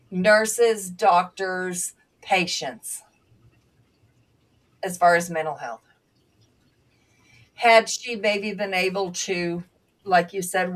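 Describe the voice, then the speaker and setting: A woman telling stories in a casual conversation, reflective, with halting restarts, as a guest and former nursing aide. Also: mid-range voice, recorded from a distance. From Bulgaria.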